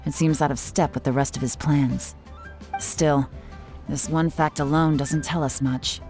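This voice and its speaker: Low-voiced, shrewd game investigator. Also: female voice, fairly even intonation, a little mellow, faint music behind. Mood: composed. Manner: measured, knowing, analytical. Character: shrewd, composed female investigator. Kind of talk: video game voice acting.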